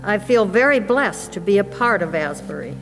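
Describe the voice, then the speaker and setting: A woman with an average-pitched voice reads testimonials aloud. The sound has a long reverb, and loud music plays behind her. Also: lively intonation, moderate pace. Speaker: church team member reading quotes. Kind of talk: reading written testimonials aloud.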